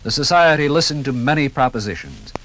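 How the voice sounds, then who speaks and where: A man's voice: mid-range voice, very animated, brisk, fairly bright tone, poor audio. A man giving theatrical, rhetorical scripted narration as the narrator of a 1950s radio documentary.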